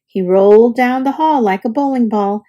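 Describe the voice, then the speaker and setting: A woman reading a children's picture book aloud as a storyteller, with deliberate, expressive narration for young listeners. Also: on the high side, dull audio.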